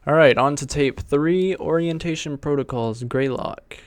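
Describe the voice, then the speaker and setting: A man's voice: fairly high pitch, measured pace, slight background noise. A man giving casual reaction commentary as a video host.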